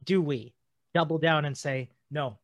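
A man's voice: on the high side, no room sound. Earnest man with a dry, close sound. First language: not German. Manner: earnest, halting with many restarts. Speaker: podcast co-host. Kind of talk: conversational podcast discussion.

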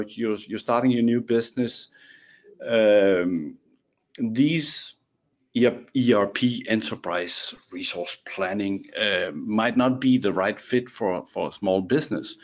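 A man's voice: mid-range voice, a little mellow. A man from Denmark with a Danish accent, a guest on a casual podcast chat, who restarts and repeats words often.

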